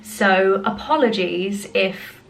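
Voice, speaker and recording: A woman's voice: mid-range voice, slow pace, a little hiss or hum, rough recording. A woman with a cold and a nasal voice, chatting upbeat to camera at home as a home decor vlogger. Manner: chatty and upbeat, frequent little laughs. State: has a cold. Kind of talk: casual chat to camera. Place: at home, indoors. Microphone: handheld camera.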